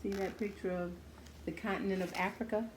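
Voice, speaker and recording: A woman's voice: medium pitch, fairly even intonation, fairly quick, slight background noise, rough recording. A woman reading a children's book aloud, adding simple, encouraging asides spoken directly to her young listeners. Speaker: children's story-time host reading a picture book aloud.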